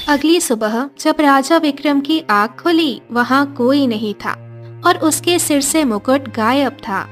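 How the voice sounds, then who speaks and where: A woman's voice: sits high, slow. A woman reading scripted narration for a storybook cartoon, with music behind her. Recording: background music under the voice.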